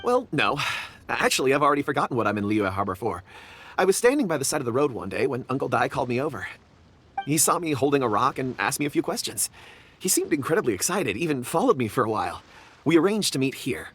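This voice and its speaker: A man's voice: mid-range voice, sing-song, quick pace, fairly bright tone, a little hiss or hum. A man voicing a genial, absent-minded young rock enthusiast in a video game, polite and eloquent. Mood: genial, vague. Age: young adult. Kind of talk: video game dialogue acting.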